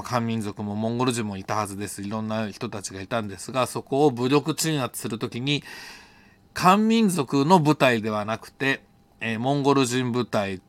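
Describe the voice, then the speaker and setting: A man's voice: mid-range voice, a bit bright, no room sound. Middle-aged man reflectively telling personal stories. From Japan. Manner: hesitant, thinking aloud, self-correcting. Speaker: host telling personal stories on an audio show. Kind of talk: unscripted reflective storytelling monologue.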